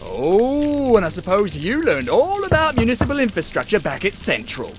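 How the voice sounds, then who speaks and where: High, swooping, sarcastic workman. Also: normal speed, music in the background. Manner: sarcastic, exasperated, excitable. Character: sarcastic, grumbling veteran municipal workman. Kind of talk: video game voice acting.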